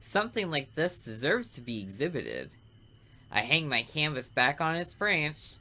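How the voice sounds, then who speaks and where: A man voicing a smug, artistic serial killer who narrates a visual novel with deliberate, theatrical, morbid relish. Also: a little high, very animated, pushed and bright, heavily acted.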